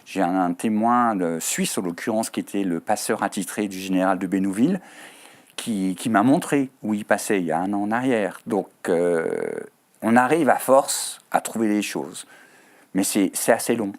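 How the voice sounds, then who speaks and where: A man with a Swiss French accent answers questions as an author in a televised interview. His voice sits fairly low. He talks in a thoughtful way, pausing and filling gaps as he goes. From Switzerland. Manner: thoughtful, hesitant with frequent fillers. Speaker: author guest. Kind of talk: TV studio interview.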